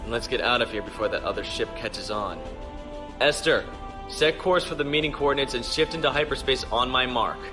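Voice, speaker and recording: A man's voice: medium pitch, a little fast, over music, lightly acted. A man acting a stern, decisive young starship captain in a sci-fi audio drama. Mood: grave urgency.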